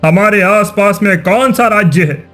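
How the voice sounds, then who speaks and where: A man's voice: sits high, moderate pace, fairly bright tone, soft background music. A man voicing a wicked, power-hungry king in a cartoon, commanding and boastful. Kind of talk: dramatic cartoon dubbing.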